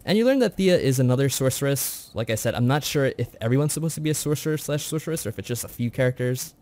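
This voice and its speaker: A man's voice: medium pitch, lively intonation, fast, a little mellow. A man co-hosting a review show, recapping a story in a chatty, informal way. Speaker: co-host of a visual novel review show.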